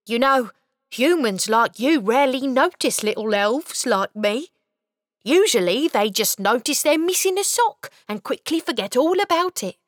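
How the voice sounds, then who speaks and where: A woman's voice: high-pitched, animated, measured pace, dry recording, clean studio sound. A woman voicing a small, shy, cheerful male elf in a storybook, chatty and flustered.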